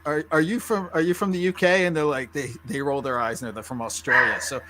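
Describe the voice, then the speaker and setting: A man hosting an interview, friendly and animated, laughing easily as he chats with his guest. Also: on the high side, a little fast. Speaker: interview host. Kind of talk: casual interview chat.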